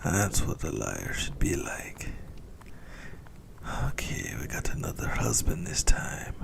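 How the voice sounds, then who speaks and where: A man voicing a possessive, centuries-old vampire lover in a whispered ASMR roleplay, smugly menacing and intimate, with a breathy, gravelly delivery. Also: sits low, huge pitch swings, slow, heavily acted. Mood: casual, savoring.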